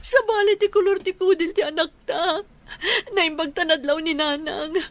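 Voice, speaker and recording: High-pitched anxious young Filipino mother. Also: fairly even intonation, normal speed. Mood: tearful, distressed. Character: anxious young new mother, tender and tearful. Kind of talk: Filipino radio drama acting.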